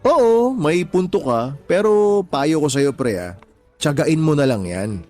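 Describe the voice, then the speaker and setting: A man's voice: on the high side, very animated, measured pace, a little mellow, faint music behind. An actor playing an easygoing married father in a radio drama, talking to his buddy in a chatty, reassuring, colloquial way. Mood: reassuring advice. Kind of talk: Tagalog radio drama acting.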